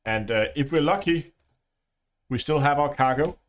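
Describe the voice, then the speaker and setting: A man's voice: fairly high pitch, moderate pace. A man with a Danish accent hosting a casual gaming livestream, chatting with viewers and laughing often. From Denmark. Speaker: livestream host.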